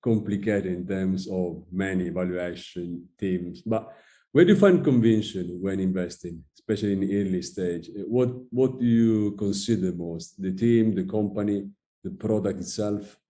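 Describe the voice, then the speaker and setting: A man's voice: sits low, normal speed, warm tone. A man hosting an interview, politely welcoming his guest and asking questions. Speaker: podcast host.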